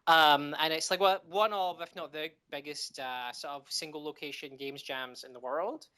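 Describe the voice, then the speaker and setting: A middle-aged man from Scotland with a Scottish accent, answering interview questions with frequent pauses, fillers and restarts. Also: fairly high pitch, fairly even intonation, bright, forceful tone, smooth. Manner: hesitant, many ums and restarts. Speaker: game developer guest.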